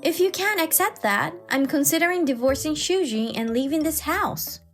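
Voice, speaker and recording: A woman's voice: sits high, lively intonation, moderate pace, faint music behind, voice pushed up. A woman voicing a young wife who stands her ground, firm and assertive, in a dramatized manga story. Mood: resolute.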